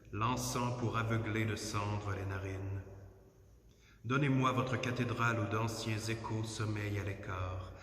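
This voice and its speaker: A man giving a solemn, measured, declamatory poetry recitation inside a church. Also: on the low side. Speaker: poetry reader. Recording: very echoey church, long reverb.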